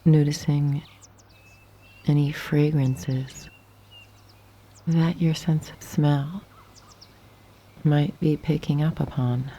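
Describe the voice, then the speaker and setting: A woman guiding a yoga nidra meditation in a soft, breathy half-whisper, gently and calmly, with long pauses. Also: low voice. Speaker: meditation guide. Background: birdsong and nature sounds.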